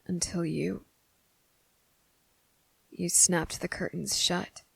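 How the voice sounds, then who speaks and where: Woman voicing a guarded, gravelly-voiced young boy, hushed. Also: fairly low pitch, fairly even intonation, dry recording, exaggerated voice, poor audio. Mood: quiet, reflective. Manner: hushed, halting, pauses mid-thought. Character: young boy, guarded and fed up, confronting a hidden monster. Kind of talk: ASMR roleplay monologue.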